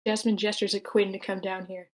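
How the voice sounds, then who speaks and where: A woman's voice: medium pitch, flat delivery, fairly quick, darkish tone. A woman reading stage directions in a matter-of-fact way at a screenplay table read.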